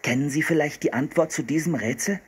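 A man's voice: on the high side, brisk, husky, voice pushed up. A man voicing an anxious, grumbling, cautious young boy in a children's storybook. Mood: hopeful.

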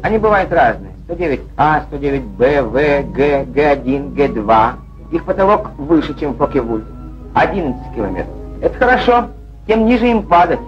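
Male 1940s newsreel narrator, highish voice, declamatory and sardonic, muffled old film sound. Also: big pitch swings, moderate pace. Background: music.

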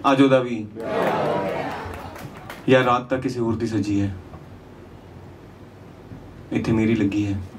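A man's voice: fairly low pitch, fairly even intonation, slow, long pauses, a crowd in the background. A man performs lyrical stand-up on stage, moving between rhyming verse and conversational asides. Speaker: stand-up comedian. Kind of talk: lyrical stand-up comedy, rhymed storytelling. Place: small indoor comedy venue on stage. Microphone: handheld microphone. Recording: distant, noisy live stage sound.

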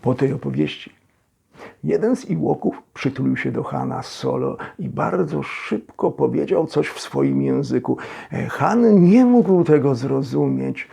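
A man narrates a storybook in a gravelly, husky voice. His delivery is slow and theatrical, with suspenseful pauses between phrases. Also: medium pitch, expressive, mellow. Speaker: storyteller, actor reading a children's audio story. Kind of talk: dramatic scripted storybook narration.

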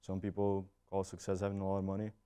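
A young man giving steady, reflective answers in a documentary interview in a studio. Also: low-pitched, fast, smooth. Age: young adult. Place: studio with plain backdrop.

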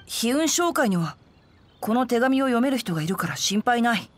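A woman's voice: on the high side, very animated, moderate pace, a little hiss or hum. A woman voicing a refined, bookish teenage boy in an anime game, speaking with composed, polite and chivalrous phrasing. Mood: mildly exasperated. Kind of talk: Japanese anime game voice acting.

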